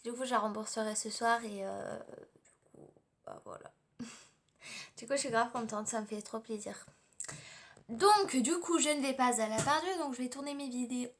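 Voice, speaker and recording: A tired, slightly hoarse young woman, rambling casually through a home vlog on her tablet, full of fillers and restarts. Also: fairly high pitch, normal speed, long pauses. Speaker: vlogger. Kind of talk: casual daily vlog chat. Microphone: tablet camera.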